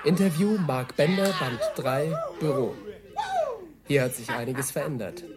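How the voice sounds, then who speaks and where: An actor in a radio play voicing an eager male interviewer who describes the scene on the spot in a lively, observational way. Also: mid-range voice, a little slow, loud music behind the voice, close to an everyday voice. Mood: amused, curious. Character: eager on-the-spot interviewer narrating what is seen. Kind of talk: radio play, taped reportage commentary. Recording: loud cheering crowd noise. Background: cheering office crowd.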